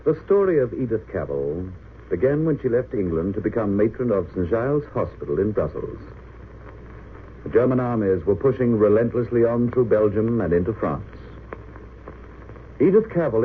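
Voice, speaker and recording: Grave low-voiced vintage radio narrator, male. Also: expressive, moderate pace. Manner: grave, theatrical, formal. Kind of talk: dramatic 1930s-40s radio narration. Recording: noisy narrow-band old radio recording.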